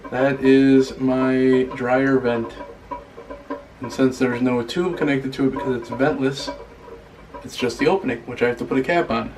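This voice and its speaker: A man vlogging casually from his condo, rambling and pausing often to find his words. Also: medium pitch, fairly even intonation. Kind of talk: casual show-and-tell vlog. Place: indoors at home in a condo.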